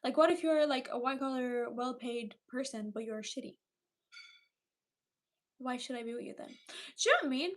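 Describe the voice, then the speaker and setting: A woman in her twenties hosting a casual livestream chat with viewers, animated and talkative, often repeating herself for emphasis. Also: high-pitched, moderate pace, long pauses. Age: 20s. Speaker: livestream host chatting with viewers.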